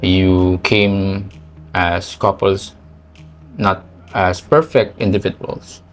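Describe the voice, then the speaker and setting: A man's voice: deep voice, steady tone, takes it slowly. A man with a Filipino accent giving casual, reflective, faith-based talk as a podcast co-host, mixing English with Tagalog.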